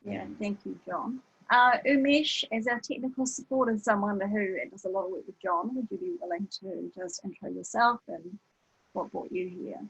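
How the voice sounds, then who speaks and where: A woman's voice: medium pitch, moderate pace, vocal fry, slightly rough, poor audio. A woman with a New Zealand accent hosting an online session in a chatty way, laughing easily and using lots of fillers. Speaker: session host. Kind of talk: casual online talk. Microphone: video call.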